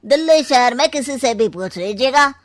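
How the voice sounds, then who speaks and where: A woman's voice: high voice, animated, normal speed, dry recording, studio quality. A woman voicing a proud, pushy mother-in-law in a cartoon story, insistent in manner.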